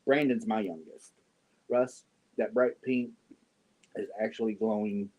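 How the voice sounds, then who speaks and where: A man in his fifties chatting casually with viewers on a yarn livestream, joking and often clearing his throat. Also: on the low side, fairly even intonation, measured pace, highs cut off. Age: 50s. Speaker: yarn channel host. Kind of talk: casual livestream chat answering viewer comments.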